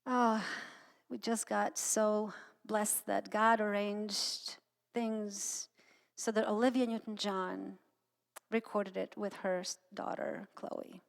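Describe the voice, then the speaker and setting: A middle-aged woman from Croatia with a Croatian accent, giving an informal inspirational talk with halting, anecdotal storytelling. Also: medium pitch, little pitch movement, creaky. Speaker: guest speaker and singer. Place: church sanctuary, speaking to an audience. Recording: long hall reverb.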